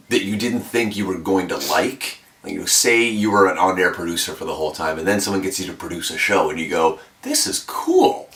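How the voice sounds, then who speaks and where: Young male radio announcer, very deep voice, relaxed, reflective delivery, recorded in an echoey room a little off mic. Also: recorded from a distance. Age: 20s. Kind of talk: documentary interview.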